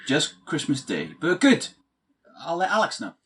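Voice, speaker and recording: A man's voice: a little high, wildly swooping pitch, a little slow, fairly bright tone, breathy edge, heavily acted. A man acting a patient but exasperated straight-man best friend, wry and long-suffering, in a comedic audio play. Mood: concerned.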